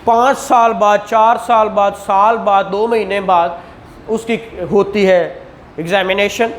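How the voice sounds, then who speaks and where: A man giving a classroom law lecture, explaining in a conversational tone, reading legal text slowly, and acting out courtroom questions. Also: high voice, steady tone, slight background noise. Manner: explains in Urdu, reads English statute text slowly aloud; acts out courtroom exchanges.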